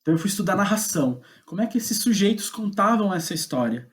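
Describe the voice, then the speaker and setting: A man with a Brazilian Portuguese accent speaking as an interview guest, casual and reflective, halting as he thinks aloud. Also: high-pitched, lively intonation. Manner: hesitant, halting, thinking aloud with repeated words. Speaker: podcast guest, playwright.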